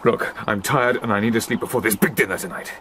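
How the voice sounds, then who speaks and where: A man's voice: on the low side, quick pace, fairly bright tone, close to an everyday voice. An actor with a British accent playing a tired, irritable but secretly generous man, curt and well-spoken, in a radio drama. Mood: exasperated. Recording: noisy radio drama with background sounds.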